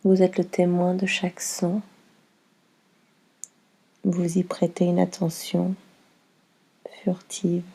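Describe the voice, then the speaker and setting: Female meditation guide: slow, soothing, mellow and softly breathy, lowish pitch, long pauses between instructions. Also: monotone. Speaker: yoga nidra guide.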